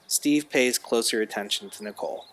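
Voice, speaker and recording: A man's voice: mid-range voice, moderate pace, fairly bright tone. A man narrating scripted stage directions for an audio drama.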